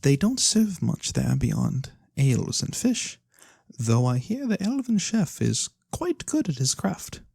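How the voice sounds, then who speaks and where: An ASMR roleplayer voicing a sly, mysterious lorekeeper who tells fantasy tales for coin, soft-spoken, breathy and theatrical, with deliberate pauses. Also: mid-range voice, very animated, no echo, studio quality, heavily acted. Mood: knowing, sly. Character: sly mysterious nonhuman lorekeeper, fortune-telling storyteller for coin.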